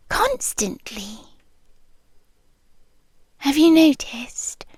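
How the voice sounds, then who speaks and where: Soft British yandere creature girlfriend, played by a woman, slow whispers. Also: sits high, dry recording, heavily acted. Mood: possessive, brooding. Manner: soft, breathy, slow and deliberate, often dropping to a whisper. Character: possessive, obsessively devoted female tailed creature girlfriend. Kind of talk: whispered ASMR roleplay monologue. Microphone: close, dry ASMR microphone.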